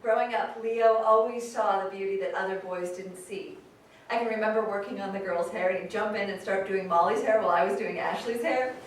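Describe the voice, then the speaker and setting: A woman's voice: mid-range voice, fairly even intonation, a little fast, lightly acted. A woman playing a frank, loving, free-spirited mother telling plainspoken stories in a staged reading. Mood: fond, reminiscing. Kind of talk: staged reading, storytelling monologue. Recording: very echoey hall, distant mic, noisy.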